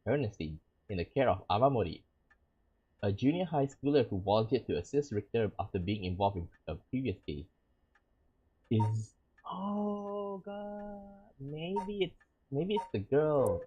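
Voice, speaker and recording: A man's voice: mid-range voice, breathy edge, highs cut off. A man from Malaysia, hosting a let's-play as a VTuber, rambling with plenty of fillers between reading the game's text. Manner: rambling, full of fillers like "like" and "you know". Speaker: VTuber gaming host.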